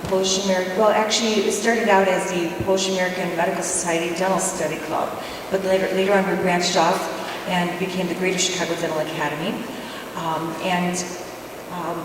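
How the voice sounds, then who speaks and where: Lower-voiced woman dentist on a panel. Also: far from the mic. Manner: measured, hesitant with fillers. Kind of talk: panel discussion answer. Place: large conference hall. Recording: echoey hall, mic from afar, noisy.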